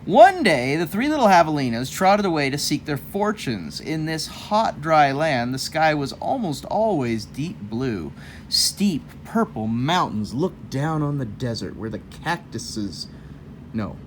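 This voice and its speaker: A man's voice: a little high, slight background noise. A man reading a children's story aloud in a folksy, rambling way, breaking off for casual, jokey asides. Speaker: host of a children's read-aloud show.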